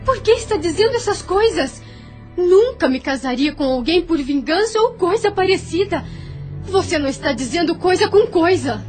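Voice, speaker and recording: Actress as earnest young bride, very high voice. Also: female voice, lively intonation, normal speed, soft background music. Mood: indignant bewilderment. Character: gentle, sheltered young bride-to-be, wealthy farm daughter. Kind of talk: audio novel acting.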